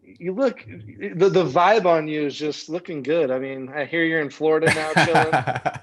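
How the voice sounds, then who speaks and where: A man's voice: fairly high pitch, moderate pace. A man hosting a podcast interview over a video call, upbeat and encouraging toward his guest. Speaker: podcast host. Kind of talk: casual interview chat.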